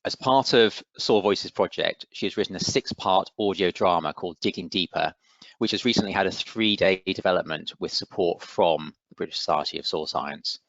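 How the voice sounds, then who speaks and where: A man hosting an online event, reading a formal introduction in a measured way over a video call. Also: low voice, fairly bright tone, no echo. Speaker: event host introducing speakers. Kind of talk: formal scripted webinar introduction. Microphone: online video call microphone. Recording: dull lo-fi video call audio.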